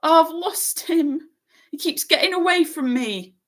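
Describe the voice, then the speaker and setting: A woman's voice: sits high, steady tone, normal speed, voice pushed up, natural voice. A woman voicing a timid young schoolboy who is tearful and miserable. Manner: miserable, wailing.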